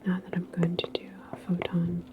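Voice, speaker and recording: A woman playing a calm, reassuring transit station attendant in a sci-fi ASMR roleplay, speaking in a soft, breathy whisper with a halting, chatty manner. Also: fairly low pitch, narrow, tinny audio, heavy background noise, lightly acted.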